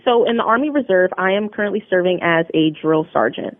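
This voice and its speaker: A woman's voice: fairly low pitch, animated, a little fast. A young woman from New Jersey, a guest on a radio interview, answering in an upbeat, earnest and polished way. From New Jersey, USA. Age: young adult. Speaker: interview guest, Army Reserve drill sergeant. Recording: narrow phone-line sound, dry.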